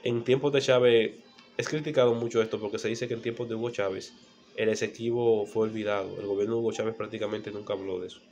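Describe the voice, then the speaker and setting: A Dominican man with a fairly low voice gives an explanatory monologue as a channel presenter. He teaches as he talks, posing rhetorical questions and repeating key phrases. The home-made recording carries faint background noise. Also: steady tone, fast talker, darkish tone. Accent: Dominican Spanish. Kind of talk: explanatory history monologue to camera.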